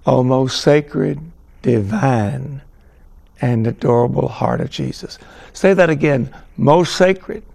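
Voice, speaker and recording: A man's voice: medium pitch, vocal fry, a little mellow, slightly rough. A man preaching and reading a devotional prayer solemnly, dwelling on each phrase. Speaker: religious speaker introducing the host.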